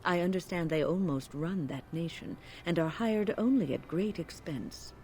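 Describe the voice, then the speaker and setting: A low, mellow game companion. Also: female voice, lively intonation, moderate pace, a little hiss or hum. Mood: matter-of-fact. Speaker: game character. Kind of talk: video game voice acting.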